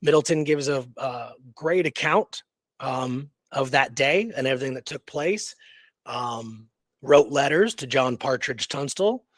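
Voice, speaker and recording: A man co-hosting a history show, talking off the cuff in a halting way with emphatic repetition. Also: mid-range voice, lively intonation, no echo. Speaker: co-host of a history radio show. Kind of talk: unscripted history discussion.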